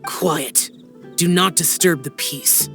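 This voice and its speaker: A man's voice: a little high, takes it slowly, creaky, sharp tone, faint music behind, exaggerated voice. A man voicing an aloof young immortal guardian with a terse, solemn manner in a game dub. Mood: stern. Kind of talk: game cutscene dub acting.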